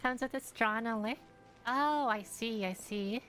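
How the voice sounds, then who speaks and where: Female game streamer, casual and hesitant, bright higher voice, faint music behind. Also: measured pace. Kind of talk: casual live stream chat.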